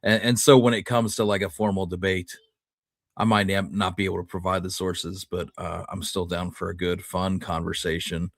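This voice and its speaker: A man with a fairly low voice talks as a co-host in a casual, plainspoken way. His delivery is informal and a little halting, recorded very dry. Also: little pitch movement, no room sound. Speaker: show co-host. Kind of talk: casual conversation.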